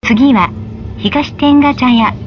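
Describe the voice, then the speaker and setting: A woman reading formal, scripted tram stop announcements, politely and with measured, careful enunciation. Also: lo-fi sound. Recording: noisy in-vehicle recording with tram rumble. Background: tram running noise.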